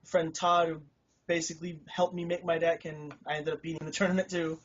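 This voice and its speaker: A man's voice: fairly high pitch, level tone, brisk, a little hiss or hum. A man being interviewed about his win, modest and hesitant, with frequent fillers. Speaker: tournament winner being interviewed. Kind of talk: casual interview. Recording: lo-fi recording.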